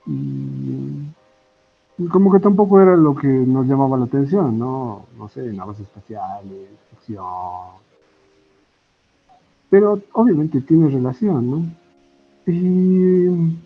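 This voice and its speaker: A man with a Bolivian accent talking casually as a podcast guest, halting and often ending phrases with a questioning tag. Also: medium pitch, creaky, husky. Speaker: podcast guest, comic artist and illustrator. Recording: muffled lo-fi audio with music. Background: background music.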